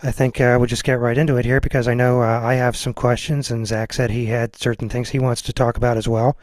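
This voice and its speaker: A man's voice: medium pitch, little pitch movement, quick pace, darkish tone, dry recording. A man hosting a podcast in casual conversation, with an easygoing, agreeable way of talking. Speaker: podcast host. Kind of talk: casual chat.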